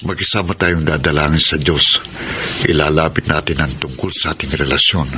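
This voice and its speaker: An actor playing a devout, reassuring and devoted suitor in a romantic radio drama. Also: male voice, a bass voice, normal speed, background noise. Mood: loving, reassuring. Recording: loud music over the dialogue.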